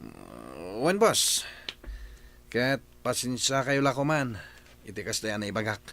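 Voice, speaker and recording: A man's voice: fairly low pitch, big pitch swings, slow, creaky, pushed and bright, slight background noise, full character voice. A man voicing a henchman who speaks to his boss in a hesitant, deferential way in a radio drama. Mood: uneasy. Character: uneasy henchman, kidnapper's helper.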